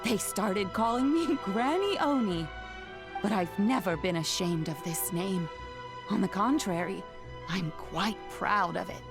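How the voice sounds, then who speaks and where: This is a kindly old granny, played by an actress in a video game, speaking slowly and gently. Her voice sits at an ordinary pitch with a motherly warmth, and quiet game music plays underneath. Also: natural voice. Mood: proud. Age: older.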